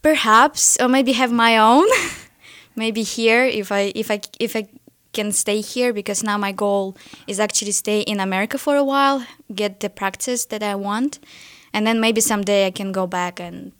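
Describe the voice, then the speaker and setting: A young woman, speaking as a guest in a radio studio. Her voice is smooth and clear. She chats eagerly, often breaking in with quick agreement. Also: mid-range voice, fairly bright tone. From Russia. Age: young adult. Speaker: graduate student guest. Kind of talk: casual interview.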